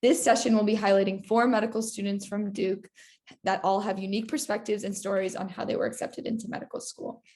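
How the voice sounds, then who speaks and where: A woman's voice: mid-range voice, flat delivery, a little fast, a little mellow. A young woman moderating an online webinar, giving a scripted, formal welcome and introductions over a video call. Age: young adult.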